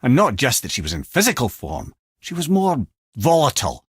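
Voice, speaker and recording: An old Scottish sage who spins myths with wry wit and eloquence. His pitch is mid-range but swings widely and expressively. The sound is dry and close, like a studio game recording. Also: huge pitch swings, brisk, slightly rough, no echo, full character voice. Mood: grave storytelling. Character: witty old severed talking head, worldly storyteller sage. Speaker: companion and storyteller. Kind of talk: video game voice acting, storytelling.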